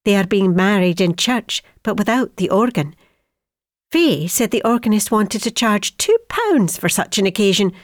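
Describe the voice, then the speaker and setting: Woman acting affectionate wartime mother, middle-aged, medium pitch, homely chatty letter reading, close dry recording. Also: no echo, close to an everyday voice.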